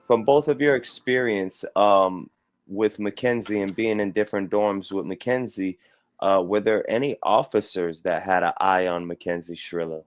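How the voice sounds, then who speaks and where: A man hosting a casual interview, putting questions in measured, slightly hesitant phrases. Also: low voice. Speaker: interviewer, host.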